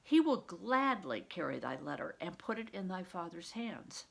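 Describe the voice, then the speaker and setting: A woman voicing a kindly, patient male monk who teaches in archaic, measured phrasing for a storybook. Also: fairly low pitch, very animated, gravelly, slightly rough, exaggerated voice. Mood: reassuring.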